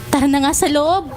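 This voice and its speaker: A woman's voice: high voice, full character voice. A woman playing an affectionate aunt in a Filipino audio drama. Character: affectionate aunt welcoming her long-lost niece.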